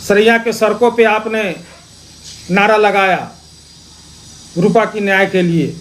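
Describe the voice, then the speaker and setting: A man's voice: sits high, steady tone, takes it slowly, a bit bright. A man giving an accusatory political speech, posing rhetorical questions and repeating phrases for emphasis. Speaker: opposition party politician.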